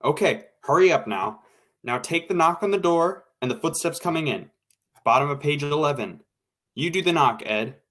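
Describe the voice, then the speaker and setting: A man's voice: fairly high pitch, lively intonation, moderate pace, a bit bright. Man as a brusque, bossy radio director. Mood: impatient, bossy. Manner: brusque, commanding, slangy. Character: impatient, bossy male radio director in 1940s studio. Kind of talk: 1940s radio drama acting.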